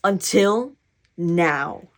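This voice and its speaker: A woman voicing a calm, accepting storybook grandmother who speaks in a measured, reassuring way. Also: on the low side. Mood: kind, thoughtful.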